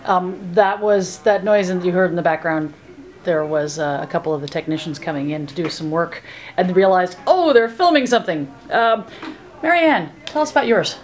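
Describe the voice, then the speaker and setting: A woman's voice: lowish voice, normal speed. A woman interviewer asking questions casually, with informal, hesitant phrasing.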